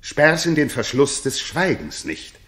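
A man's voice: mid-range voice, very animated, unhurried, a bit bright. An actor playing an indignant noble king in a 1960s German radio drama, speaking solemnly and theatrically. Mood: urgent, probing. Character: shocked noble king of Bohemia, slandered friend, indignant.

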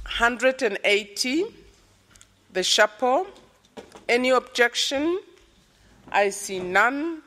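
A woman's voice: fairly high pitch, animated, sharp tone, a little hiss or hum. A woman chairing a formal committee session, calling items for adoption and asking for objections. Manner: slow, procedural, calling for objections. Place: large conference hall. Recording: long reverb of a large hall.

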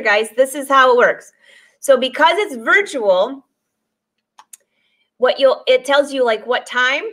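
Chatty woman sewing teacher, higher voice. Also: fairly bright tone. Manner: chatty, laughs often, reads viewer comments aloud. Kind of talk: casual live-stream chat with viewers. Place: sewing studio with dress forms, indoors.

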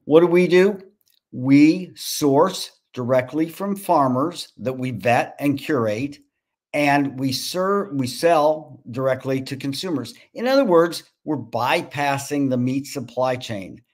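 A man in his sixties speaking on a video call as a farm business founder, pitching and answering investor questions. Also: slow, darkish tone. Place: home room with bookshelves.

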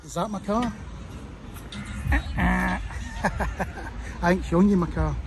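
A man's voice: sits high, measured pace, gravelly, over music. A Scottish man with a sore throat, chatting casually to viewers as he walks and films. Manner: chatty, rambling asides to viewers. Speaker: vlogger. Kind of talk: casual walking commentary. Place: busy city street outdoors. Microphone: handheld phone camera. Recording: outdoor street with crowd and traffic noise.

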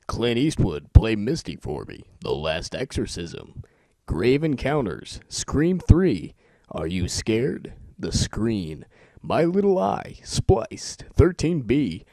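A man's voice: mid-range voice, huge pitch swings, slow, mellow, no echo. A man making an amateur voice-over sample, speaking in an informal, joking, self-amused way. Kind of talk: casual chat and rapid-fire list reading.

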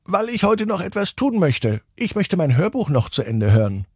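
Here is a man performing a stubborn little girl in a bedtime story. The pitch is raised and the words are stretched into whiny insistence. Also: sing-song, normal speed, a little mellow, breathy edge, no room sound, studio quality, full character voice. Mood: stubborn, pleading.

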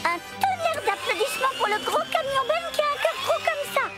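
Excitable little cartoon mixer machine, extremely high voice, theatrical announcer delivery, loud music underneath. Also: female voice, brisk. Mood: showy, excited. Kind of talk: cartoon dub, contest announcing.

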